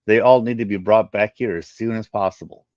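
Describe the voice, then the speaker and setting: A man's voice: lowish voice, fairly even intonation, fairly quick, lightly acted. A man voicing a helpful, earnest male alien facility worker who explains things in a sci-fi story. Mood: earnest, informative. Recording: muffled, roomy home recording.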